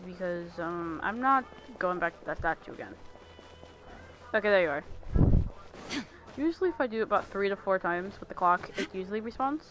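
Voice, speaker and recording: A woman's voice: medium pitch, expressive, brisk, long pauses. A woman giving casual, informal gameplay commentary, thinking aloud as she plays. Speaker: gaming streamer. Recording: clearly noisy home recording.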